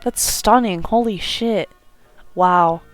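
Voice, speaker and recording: A young woman giving casual, excitable playthrough commentary on a visual novel, gushing and swearing freely. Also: mid-range voice, takes it slowly, no room sound. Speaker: gaming video creator playing a visual novel. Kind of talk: casual playthrough commentary, reading game dialogue aloud. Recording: music playing behind the voice.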